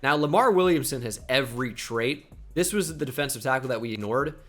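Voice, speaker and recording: Young guy, slight jersey accent, chatty game commentary, bright forceful voice. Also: medium pitch, lively intonation, fairly quick, faint music behind. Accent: slight New Jersey. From New Jersey. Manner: chatty, musing aloud, self-deprecating asides. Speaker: gaming YouTuber.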